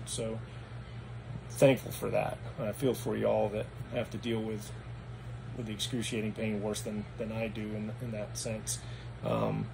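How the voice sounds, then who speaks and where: A man with a raspy, hoarse voice, talking through a casual vlog recap at home in a meandering, matter-of-fact way. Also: on the low side. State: hoarse after anesthesia. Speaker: vlogger. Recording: noticeable background noise.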